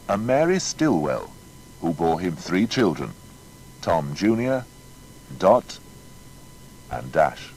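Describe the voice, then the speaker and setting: Deep-voiced male narrator, lively intonation. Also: a little hiss or hum, poor audio. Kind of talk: scripted biographical narration.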